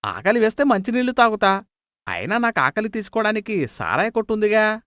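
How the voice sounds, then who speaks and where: Man acting a miserly shopkeeper, very animated pitch, wheedling, exclamatory, dry close sound, moral-story character. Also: a little fast, no echo. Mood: indignant, scheming. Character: sly, miserly male cloth merchant, wheedling and self-justifying.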